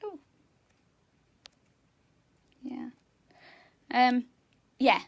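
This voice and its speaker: A woman with a loud, high-pitched voice, chatting enthusiastically as a zoo educator teaching children. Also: expressive, drawn-out words, creaky. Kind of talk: live educational animal talk.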